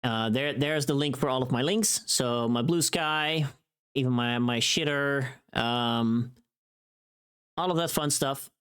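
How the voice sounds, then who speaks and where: Livestreamer, mid-range pitch, vocally tired, informal and rambling chat delivery. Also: measured pace, a bit bright, smooth. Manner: rambling, informal, mildly sweary. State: tired voice from long recording.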